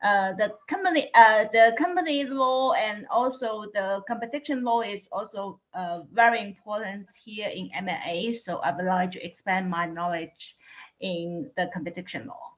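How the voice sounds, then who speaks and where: A woman's voice: mid-range voice, normal speed. A middle-aged woman from China introducing herself as a lawyer taking an online class. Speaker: course participant, lawyer. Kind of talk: self-introduction. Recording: dull online call audio.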